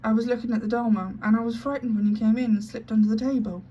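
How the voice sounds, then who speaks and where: A woman's voice: on the high side, steady tone, quick pace, slightly rough, muffled recording. A woman doing a timid, weepy maid girl. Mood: pleading.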